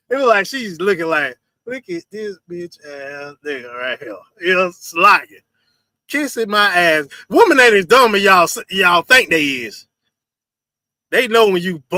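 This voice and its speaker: A high, bright male voice that swings all over its pitch range. He preaches in slang and curses freely, stopping often to ask the listener if they understand. The sound is close and dry, with no room around it. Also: no room sound. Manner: loud, preachy and profane, slangy, repeats "you understand". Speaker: host of a street preaching talk stream. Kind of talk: casual commentary reacting to a video.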